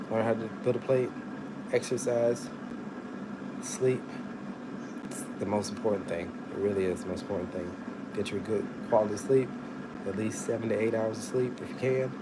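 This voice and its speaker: A slightly hoarse man in his thirties chats easily through a cooking session, giving advice in a relaxed, friendly way. His voice sits a little low. A loud air-conditioning hum fills the kitchen behind him. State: slightly hoarse, recovering from illness. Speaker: nutrition coach. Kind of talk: casual live cooking chat. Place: home kitchen, indoors. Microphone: phone camera at arm's length, earbuds.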